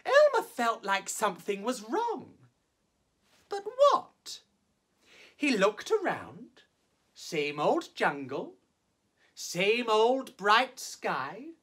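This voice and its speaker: A man performing as a drag storyteller, reading a children's picture book theatrically with exaggerated emphasis and drawn-out words. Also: very high voice, fairly bright tone. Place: small indoor set, on camera.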